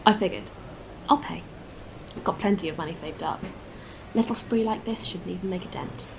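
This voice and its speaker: A woman playing a kind, anxious, protective space traveller in an audio drama, earnest and expressive. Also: lowish voice, moderate pace, creaky, noisy background. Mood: kind, friendly.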